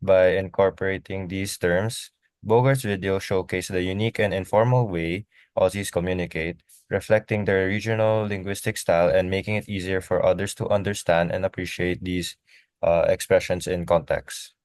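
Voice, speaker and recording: A man's voice: low-pitched, fairly even intonation, moderate pace, darkish tone, no echo, studio quality. A young man giving a class presentation, earnest and a little hesitant. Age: young adult. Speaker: student presenter.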